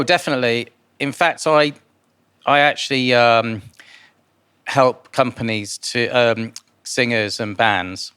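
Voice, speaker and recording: A man born in Guyana answers interview questions informally, in a halting way with repeated words. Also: mid-range voice, fairly bright tone, clean tone. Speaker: interviewee, philanthropist and investor.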